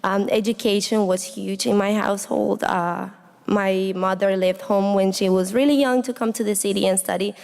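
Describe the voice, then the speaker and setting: A woman's voice: medium pitch, fairly even intonation, moderate pace, vocal fry, a little mellow. A young woman from Honduras gives a reflective, earnest personal speech as a student speaker, addressing an audience. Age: young adult. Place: indoor hall, speaking to an audience. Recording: echoey hall with long reverb.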